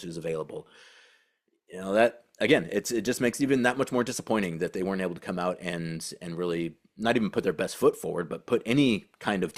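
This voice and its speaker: Low-voiced man talking basketball. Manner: halting, repeats and restarts phrases. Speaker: basketball podcast host and team reporter. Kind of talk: casual sports commentary.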